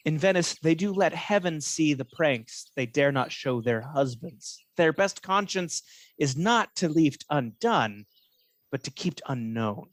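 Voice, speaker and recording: An actor playing a scheming false friend who feigns honest concern, speaking measured, insinuating Shakespearean verse in a radio play. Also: male voice, on the high side, expressive, no room sound. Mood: cautioning.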